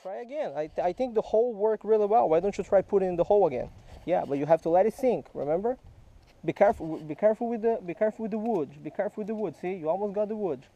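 Guy teaching a kid to fish by a creek, high-ish voice, very mellow, patient and quizzing. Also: fast, highs cut off. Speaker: fishing vlogger. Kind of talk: casual teaching chat while fishing. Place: outdoors by a small creek in a park. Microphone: head-mounted action camera.

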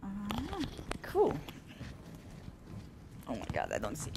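A woman's voice: medium pitch, huge pitch swings, gravelly, very noisy. Ukrainian-accented woman giving a talk. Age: middle-aged. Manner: halting, informal, non-native phrasing. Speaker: guest speaker. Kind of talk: public talk. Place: event room at a store with a small seated audience. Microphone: handheld mic.

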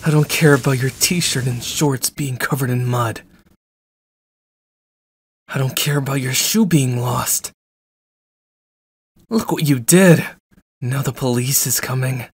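A man's voice: medium pitch, takes it slowly, long pauses, breathy edge, dry recording, studio quality. A man playing a jealous, controlling stalker in an ASMR roleplay, with a cold, clipped, threatening delivery. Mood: cold blame, then angry, agitated. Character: jealous, controlling, menacing young male stalker.

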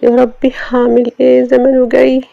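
A fiery young wife with an Egyptian accent, pitched high and bristling with indignation, as a storyteller puts her on. The voice has a gravelly grain under the lift. It sits in a dry, close storybook recording. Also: completely flat delivery, fairly quick, no room sound, dull audio. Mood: anxious. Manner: indignant, sharp retorts. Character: young wife, defiant, quick-tempered and proud. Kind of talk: storybook audio drama.

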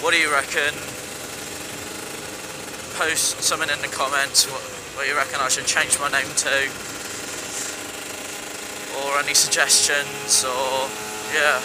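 A young man from England chatting casually to viewers from a helmet camera while riding a dirt bike, relaxed and rambling. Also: mid-range voice, a little slow, pauses often. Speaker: motovlogger. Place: riding a dirt bike on wet country roads. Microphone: helmet-mounted camera mic. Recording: helmet cam with engine and wind noise. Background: engine and wind noise.

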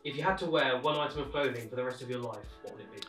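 A man's voice: mid-range voice, racing, a bit bright, faint music behind. A man asking short questions as an interviewer, recorded with a roomy, off-mic sound. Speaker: off-camera interviewer.

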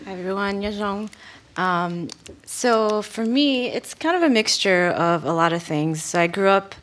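A woman's voice: mid-range voice, lively intonation, measured pace, fairly bright tone. A woman from France, a native French speaker, telling her story on a stage panel into a table microphone in an earnest way. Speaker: panelist artist and community organizer. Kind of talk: panel talk. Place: stage at an indoor arts venue.

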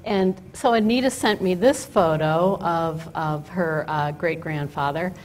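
An older woman giving a chatty, story-driven talk at a podium, telling it with fillers and asides in a loud voice. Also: low-pitched, a little slow, a little hiss or hum. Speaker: guest speaker. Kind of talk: lecture with slides. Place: auditorium stage at a podium. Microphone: podium mic.

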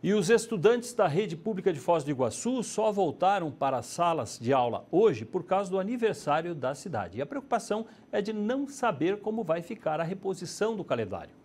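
A middle-aged Brazilian man anchors a TV newscast. His voice sits fairly high and moves in very animated swings, picked up on a lapel mic in a studio. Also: brisk, few pauses. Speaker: news anchor. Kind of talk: television news presenting.

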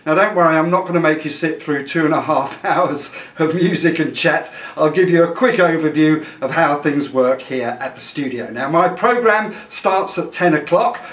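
A man in his sixties presenting a local radio show from a small studio, chatty and jokey, with a clear broadcast delivery. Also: on the high side, normal speed, few pauses, fairly bright tone. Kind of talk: radio show chat and behind-the-scenes tour. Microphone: studio microphone, headphones on.

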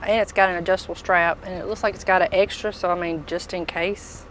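A woman's voice: lowish voice, steady tone, fast talker, a bit bright, rough recording. Lady in her 30s chatting about tools in a truck, bit of noise behind her. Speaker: tool truck dealer showing products. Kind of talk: casual product walk-through chat. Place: inside a packed tool truck.

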